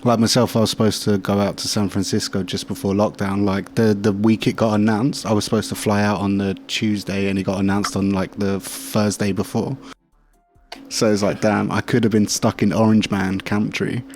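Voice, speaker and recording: A man's voice: fairly low pitch, level tone, normal speed, darkish tone. A man with an English accent in a casual, slangy chat. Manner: slangy, informal. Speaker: talk show participant.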